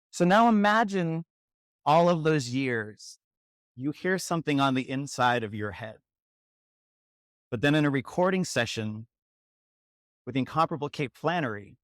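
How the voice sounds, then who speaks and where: Guy giving an award thank-you speech, close dry sound, measured w/ emphatic pauses. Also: medium pitch, fairly bright tone, no room sound. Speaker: author giving acceptance speech.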